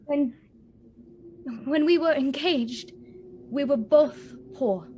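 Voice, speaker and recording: An actress playing a sorrowful but resolute young fiancée in a radio play, measured and pausing between phrases. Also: female voice, sits high, a little mellow. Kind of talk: theatrical radio play acting. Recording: noisy ambience behind the voice.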